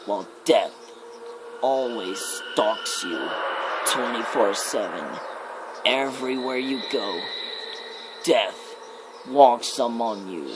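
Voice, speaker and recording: A man voicing a menacing demon of fear, death and darkness in a slow, ominous ASMR horror monologue with deliberate pauses. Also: medium pitch, noisy background. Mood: sinister, ominous.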